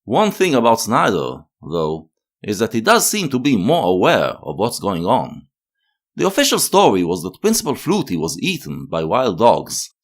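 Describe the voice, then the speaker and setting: A man delivering scripted analytical narration as a video essayist, in a measured, explanatory manner. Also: on the low side.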